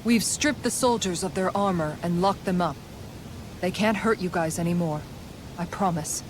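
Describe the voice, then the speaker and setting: A woman's voice: on the low side, normal speed, noisy background, natural voice. Woman acting a thoughtful, earnest game heroine. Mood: reassuring. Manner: earnest, explanatory. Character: thoughtful, principled, protective young heroine. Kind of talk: video game cutscene acting.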